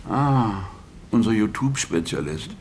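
A formal, sardonic government spokesman, fielding hostile questions at a press conference. His voice sits a little low and stays measured and bureaucratic. A faint noise runs under the recording. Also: animated, creaky, a little mellow. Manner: formal, curt, sardonic with reporters. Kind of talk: radio drama acting.

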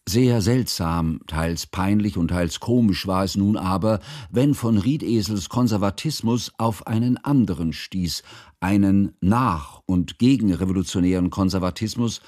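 An actor playing a precise, ironic narrator, telling a literary story in measured radio drama narration. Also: male voice, lowish voice, darkish tone, no echo, clean studio sound. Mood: dry irony. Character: observant, dryly ironic male narrator recalling salon society.

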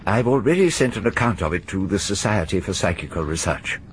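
Older gentleman, amateur sleuth, chuckling, fussy, lively voice, radio play. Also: fairly low pitch, brisk, a little hiss or hum. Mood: chatty. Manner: chuckling, fussy, hesitant repeats. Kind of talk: 1930s-style BBC radio drama.

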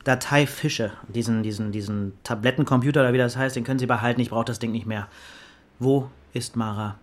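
A man playing a sardonic, burned-out poet in a German radio play, speaking in a dry, gruff, terse way. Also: mid-range voice, fairly even intonation, fairly quick, natural voice. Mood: dry, curt.

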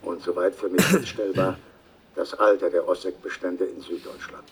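An actor playing an earnest asthmatic salesman and amateur botanist who gives a measured, formal recorded statement in a radio play. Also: sits low, lightly acted. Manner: measured, formal, precise wording. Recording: distant taped voice, faint noise.

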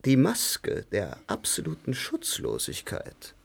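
A vain, declaiming stage actor. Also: male voice, lowish voice, huge pitch swings, slow pace, gravelly, husky, exaggerated voice. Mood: lofty. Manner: declamatory, philosophizing.

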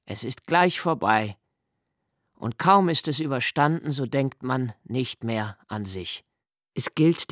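Slow, intimate, pensive man, an actor, dry close sound. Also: medium pitch, no room sound, lightly acted. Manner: intimate, pensive, halting phrasing. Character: reflective, melancholy male diarist recalling love and childhood. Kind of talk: literary radio play monologue.

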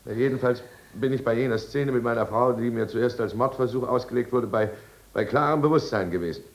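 A man's voice: mid-range voice, brisk, lightly acted. An actor playing an anxious, evasive middle-aged alcoholic businessman in a 1950s German radio drama, with careful, self-justifying diction. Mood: nervous, defensive.

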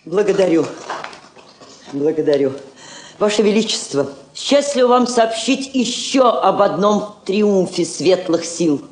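An actress playing a dignified woman ambassador, speaking in a measured, heartfelt, declamatory way. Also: medium pitch, lively intonation, natural voice. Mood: dignified, assured. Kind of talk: solemn Soviet stage drama acting. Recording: roomy old drama recording.

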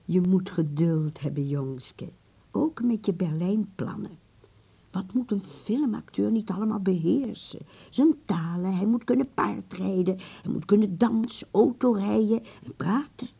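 An actress playing an elderly grandmother in a radio drama, frail yet wise, tender and firm, speaking deliberately in a breathy old voice. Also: mid-range voice, mellow. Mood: gently admonishing. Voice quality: husky, breathy, creaky old voice. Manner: slow, deliberate, with repeated words.

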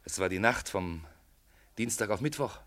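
A police inspector from a radio play, with a fairly low voice. He questions people with bland courtesy and never hurries, shrewd beneath the routine politeness. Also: expressive, normal speed, fairly bright tone, slight background noise. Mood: courteous, probing. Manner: probing, matter-of-fact, casually polite. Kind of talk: German radio play acting.

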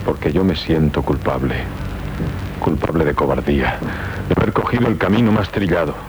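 A man's voice: very deep voice, moderate pace, a little hiss or hum, low-quality recording. An actor playing a lovelorn, guilt-ridden young nobleman warrior in a 1970s radio play, speaking solemnly and earnestly with a Castilian accent. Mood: sombre, remorseful. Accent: Castilian Spanish. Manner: solemn, earnest, theatrical delivery. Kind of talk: 1970s Spanish radio theatre acting. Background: prominent music.

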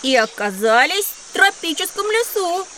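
A woman playing an eager, impatient young boy, excitable in a children's educational audio drama. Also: very high voice, normal speed, slight background noise, exaggerated voice. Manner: excitable, exclamatory.

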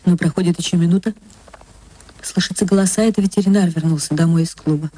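A woman, an actress, reading a short story aloud in an expressive, tender and dramatic way. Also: lowish voice, fast, husky. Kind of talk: expressive literary narration.

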